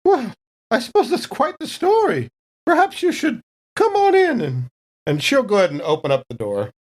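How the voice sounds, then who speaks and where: A woman's voice: on the high side, huge pitch swings, normal speed, a little mellow, voice pushed up. A woman voicing a polite, flustered older lady traveler in a tabletop game, hesitant and genteel in manner. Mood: flustered, relieved. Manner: fussy, hesitant, genteel exclamations.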